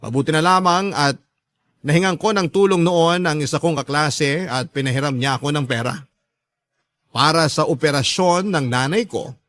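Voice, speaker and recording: A man voices a sorrowful grown child telling a family story in a Filipino radio drama. His fairly high voice is earnest and measured, recorded dry and close. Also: fairly bright tone, no echo, lightly acted. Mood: sorrowful, reflective. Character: sorrowful grown child recounting a father's betrayal. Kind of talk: first-person radio drama letter narration.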